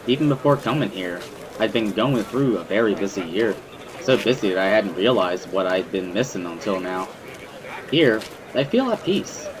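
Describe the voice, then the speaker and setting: Man playing a low-pitched reflective schoolboy narrator. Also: animated, normal speed, crowd noise, lightly acted, rough recording. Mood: reflective, content. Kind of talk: first-person scripted narration, dramatic reading. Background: music.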